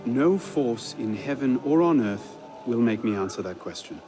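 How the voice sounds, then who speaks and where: A man's voice: mid-range voice, expressive, normal speed, music in the background, close to an everyday voice. An actor playing an earnest, wry young man, a romantic adventurer in a video game. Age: young adult.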